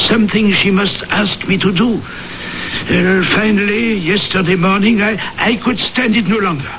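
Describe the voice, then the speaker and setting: A superstitious village milkman, anxious to please and tripping over his words. The voice is bright and fairly high, in old radio drama sound, muffled with faint noise. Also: exaggerated voice. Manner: hesitant, stammering, deferential. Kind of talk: 1970s radio drama acting. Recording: dull, noisy old radio broadcast.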